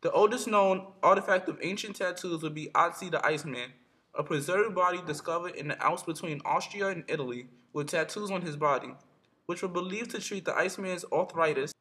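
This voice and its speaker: A man reading a documentary narration in a steady, read-aloud way. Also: sits high, brisk, poor audio.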